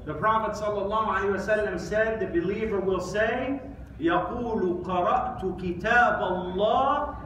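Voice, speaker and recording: A man in his thirties giving an Islamic sermon in an emphatic, deliberate way, with rhetorical questions and pauses. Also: high voice, fairly bright tone. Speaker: preacher giving an Islamic lecture. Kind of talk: sermon with quoted Arabic recitation. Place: large mosque prayer hall, indoors. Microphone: distant camera or phone. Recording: echoey hall from far off, faint noise.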